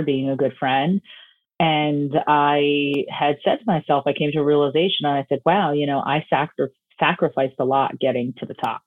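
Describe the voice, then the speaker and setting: A woman's voice: low-pitched, dry recording. Self-assured woman on a tinny line. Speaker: guest, health coach. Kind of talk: podcast interview answers. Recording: tinny phone-line audio, dry.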